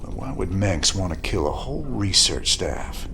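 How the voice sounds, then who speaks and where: An actor playing a rugged, wry rebel commander in a sci-fi game, laconic and folksy. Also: male voice, deep voice, very animated, normal speed, background noise. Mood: wry, relaxed. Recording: game soundtrack music behind.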